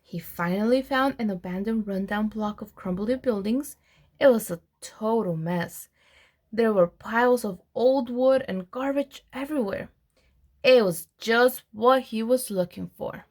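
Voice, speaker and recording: A woman reading a children's storybook aloud in an expressive storyteller's manner, with deliberate pauses. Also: fairly high pitch. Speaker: program administrator reading aloud.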